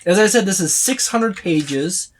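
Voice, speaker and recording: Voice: mid-range voice, expressive, a little slow, a little hiss or hum. A reviewer talking casually and unscripted through a tabletop game book, rambling along and often listing items one after another. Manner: rambling, repeats and lists items.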